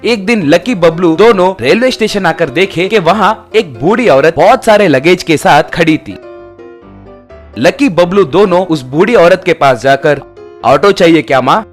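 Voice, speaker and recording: A man's voice: high voice, expressive, measured pace, faint music behind. A man narrating a scripted, children's-style story.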